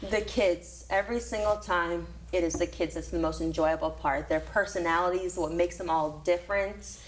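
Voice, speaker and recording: A woman's voice: lowish voice, steady tone, normal speed, poor audio. Earnest woman teacher from Florida. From Florida, USA. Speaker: teacher, interview guest. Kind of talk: interview answers.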